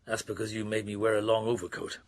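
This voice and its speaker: An actor playing a weary, dogged middle-aged police detective who narrates his case in a radio drama, with a gravelly voice. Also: fairly low pitch, level tone, fast talker, close to an everyday voice. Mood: reflective, brooding. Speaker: narrator and lead character. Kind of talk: radio drama acting, first-person narration.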